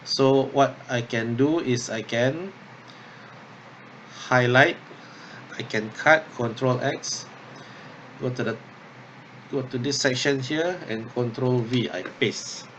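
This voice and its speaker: A man instructor talking through an unscripted tutorial step by step, thinking aloud haltingly and often checking in with okay or yeah. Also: mid-range voice, fairly bright tone, a little hiss or hum, rough recording. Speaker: drama workshop instructor.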